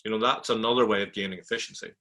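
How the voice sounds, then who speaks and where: A man speaking as an industry panelist, explaining points in a measured, conversational way. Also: on the low side, steady tone, pushed and bright. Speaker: technology provider, panelist.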